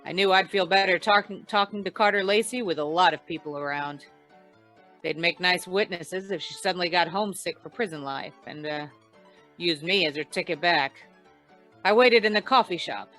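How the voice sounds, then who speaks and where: A woman playing a deadpan, hard-boiled female investigator in an old-time radio crime noir, with dry, world-weary delivery. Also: fairly low pitch, normal speed, soft background music, lightly acted, low-quality recording. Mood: wry, deadpan. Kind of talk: 1940s radio noir narration and dialogue.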